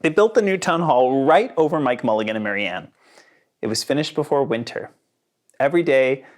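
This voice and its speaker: A narrator reading a children's storybook aloud with dramatic, expressive emphasis. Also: medium pitch. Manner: dramatic, with sound words and slowed emphasis.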